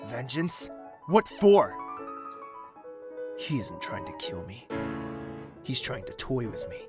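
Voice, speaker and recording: A man's voice: medium pitch, very animated, slow pace. Man playing a proud, defiant prince, music behind. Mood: cool, defiant. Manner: cool, haughty, commanding. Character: proud, defiant, unrepentant young prince and viceroy. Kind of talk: audio drama acting.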